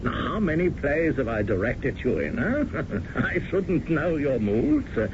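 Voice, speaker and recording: A flamboyant stage director, all doting charm and little chuckles, his voice bouncing up and down and sitting a touch high. He comes through a muffled old radio broadcast with a steady hiss behind him. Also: a little slow. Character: effusive, fussing male theater director. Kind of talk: 1970s radio drama acting.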